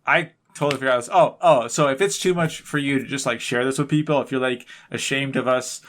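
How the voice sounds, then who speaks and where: A man's voice: mid-range voice, a little fast, fairly bright tone, slight background noise. A man co-hosting a podcast in casual, unscripted banter, rambling and often correcting himself in a self-deprecating way. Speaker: podcast co-host.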